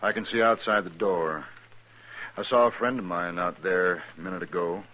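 An actor playing a cool, self-assured outlaw gang boss with a taunting, sardonic manner in a radio western. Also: male voice, deep voice, fairly even intonation, normal speed, sharp tone, dull audio. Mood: quiet menace. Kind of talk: 1950s radio western acting.

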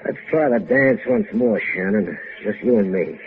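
A man's voice: fairly low pitch, huge pitch swings, moderate pace, gravelly, noisy background, full character voice. An actor playing a taunting, sarcastic henchman villain in a 1950s radio drama. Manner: sarcastic, goading. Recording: muffled, phone-like old radio recording.

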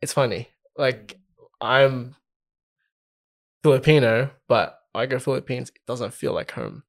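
A man's voice: mid-range voice, lively intonation, unhurried, gravelly. A man chatting casually and candidly, in an informal way with frequent check-in tags. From Australia. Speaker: podcast participant. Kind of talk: casual chat.

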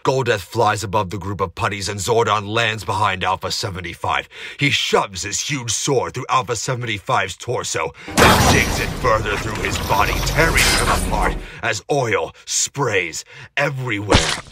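A man's voice: fairly low pitch, normal speed. A man giving dramatic scripted narration of an action story in an amateur audio drama. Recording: noisy amateur recording with heavy background noise.

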